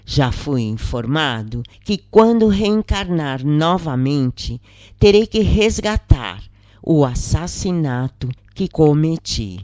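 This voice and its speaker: A narrator reads a scripted first-person testimony in a solemn, measured manner with deliberate pauses. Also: mid-range voice, big pitch swings, darkish tone, no echo, clean studio sound.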